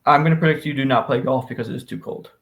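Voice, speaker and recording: An American man co-hosts a podcast in an easygoing, conversational style. His voice sits at a middling male pitch, and he talks informally, as if with an old friend. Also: very fast, gravelly, fairly bright tone. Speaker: podcast co-host. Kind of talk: casual banter between friends.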